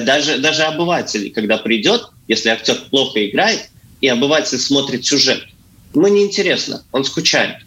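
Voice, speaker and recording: A man, an actor appearing as a studio guest, answering earnestly and haltingly as he searches for words and repeats phrases. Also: mid-range voice, pushed and bright, poor audio. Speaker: actor as talk show guest. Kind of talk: earnest conversational interview answers. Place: radio studio.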